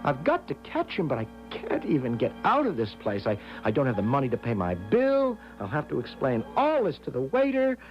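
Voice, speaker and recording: A man's voice: medium pitch, wildly swooping pitch, brisk, few pauses. An actor playing a nervous, easily flustered young American traveler in a radio drama, stammering and fretting as he talks. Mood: shocked narration. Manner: stammering, hesitant, fretful. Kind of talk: theatrical radio drama acting with first-person narration. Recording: dull vintage radio recording with music.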